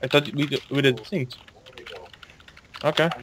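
A man's voice: mid-range voice, flat delivery, a little slow, noisy background. A man chatting casually with friends in a voice call while playing an online game, restarting his sentences often. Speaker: gamer in voice chat.

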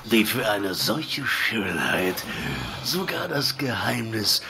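An actor playing a fat, boastful, lecherous mercenary slaver in a fantasy audio drama, with a drawling, gloating manner. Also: male voice, on the low side, big pitch swings, slow, vocal fry, very noisy, exaggerated voice. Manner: drawling, gloating, leering, chuckling.

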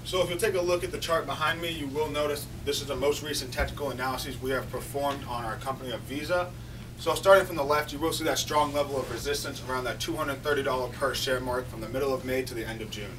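A man's voice: medium pitch, fairly even intonation, quick pace. A young man presenting on stage, walking the audience through stock charts. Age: young adult. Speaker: student presenter. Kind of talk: rehearsed finance presentation. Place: lecture hall on stage. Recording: distant voice with room noise.

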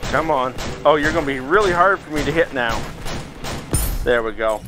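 A man giving casual, excitable commentary as he plays a virtual reality game, reacting aloud as he goes. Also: a little high, moderate pace, music in the background. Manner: excitable, reacting aloud while physically playing. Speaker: video game streamer.

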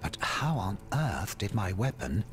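A man's voice: on the low side, big pitch swings, unhurried. An actor playing a pompous, silky statesman and schemer in a video game, theatrical, florid and courtly. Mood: dismayed, evasive. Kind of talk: video game cutscene acting.

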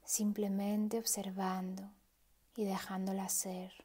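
A woman leading a guided meditation in a soft, hushed, breathy voice with pauses. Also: medium pitch, flat delivery.

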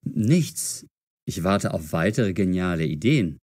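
An actor playing a cautious, curious young man in an audio drama for language learners, measured and clearly enunciated. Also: low-pitched, lively intonation, darkish tone, full character voice. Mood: thoughtful.

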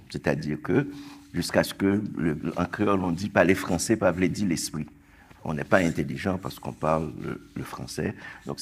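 A man's voice: deep voice, creaky, breathy edge. A man in his sixties from Haiti, whose first language is Creole, speaking passionately as a poet on a round-table panel on a theatre stage. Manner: impassioned, gesturing, building to emphatic peaks.

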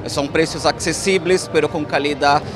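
A man's voice: fairly high pitch, fairly even intonation, normal speed. A man with a Brazilian accent presenting products as an interview guest at a trade expo. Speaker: export manager, interview guest. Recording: music under the voice. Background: background music.

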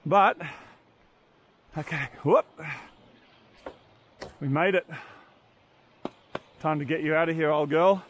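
A man's voice: on the high side, slow, pauses often, a bit bright, slight background noise. A man in his thirties with an Australian accent, chatting casually to camera as he vlogs outdoors. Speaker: vlogger hosting an outdoor rescue video. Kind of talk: casual vlog chat to camera. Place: outdoors in bushland. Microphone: handheld camera at arm's length.

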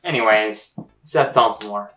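A young man playing a dismissive, deadpan boss holding a job interview in an amateur comedy sketch. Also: on the low side, slow pace. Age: young adult. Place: indoor basement living room.

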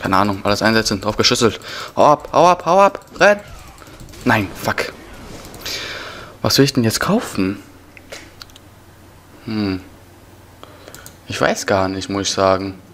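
A man's voice: fairly low pitch, animated, unhurried, long pauses, fairly bright tone. A young man with a cold, casually commentating his gameplay in slang, muttering to himself. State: has a cough and a cold. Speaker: let's player. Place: indoors at a computer, voice over gameplay. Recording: noisy home recording, keyboard typing.

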